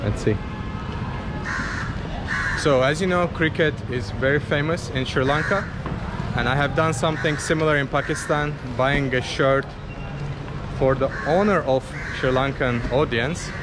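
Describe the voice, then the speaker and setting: Guy from azerbaijan vlogging on his phone, walking a loud market street, simple english, kinda high voice. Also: unhurried, pauses often. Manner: chatty, simple non-native English, asks passersby directions. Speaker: travel vlogger. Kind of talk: casual walking vlog. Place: busy outdoor street market. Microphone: handheld camera at arm's length. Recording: loud street noise outdoors. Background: traffic and market bustle.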